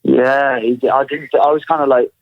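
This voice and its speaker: A man's voice: medium pitch, steady tone, normal speed, no room sound. A man who is a pro fighter, talking in a laid-back way with lots of fillers and frequent laughs. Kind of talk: casual chat. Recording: dull lo-fi audio.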